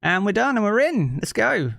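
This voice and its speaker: A man's voice: high voice, sing-song, normal speed, creaky, a bit bright, dry recording, clean studio sound. A man from southeast England with a local accent, chatting casually with his live-stream audience from his living room and reading chat aloud. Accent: southeast English. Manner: chatty and easygoing, thinks aloud with many fillers. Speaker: game streamer. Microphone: streaming mic with effects.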